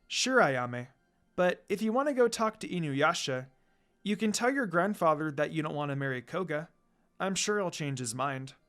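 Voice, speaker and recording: A man acts out a friendly young woman, the heroine of a storybook fantasy romance. The speech is frank and reassuring, with a varied pitch. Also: animated, moderate pace, a bit bright. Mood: encouraging.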